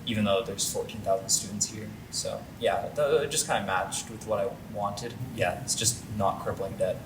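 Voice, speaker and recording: Man from Hawaii, distant and echoey. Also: normal speed. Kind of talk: casual interview answers. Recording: distant mic in echoey room with rumble.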